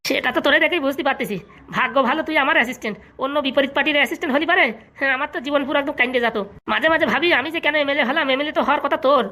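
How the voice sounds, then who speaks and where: A woman's voice: sits high, racing, pushed and bright. A woman voicing a gullible, wealthy male politician in a comic cartoon, grumbling familiarly in a rural Bengali accent. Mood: grumbling, admiring.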